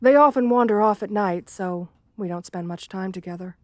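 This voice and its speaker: A woman's voice: mid-range voice, moderate pace, darkish tone, dry recording, natural voice. A woman playing a shy, sweet, bookish gargoyle in a romantic ASMR roleplay, speaking hesitantly with polite, old-fashioned formality. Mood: gentle, wistful.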